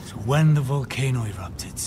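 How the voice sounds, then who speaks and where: A man playing a grave, embittered Norse villager in a video game, telling of horrors in a deliberate, accusing way. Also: medium pitch, expressive, darkish tone, slight background noise, natural voice. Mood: grim, weary. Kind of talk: dramatic video game acting.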